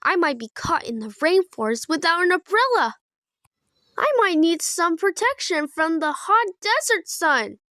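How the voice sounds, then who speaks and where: A woman's voice: very high voice, sing-song, a little slow, fairly bright tone, no echo, clean studio sound, pitched above the performer's own voice, heavily acted. A woman voicing an anxious, clingy young male baby frog in a storybook, fretful and earnest.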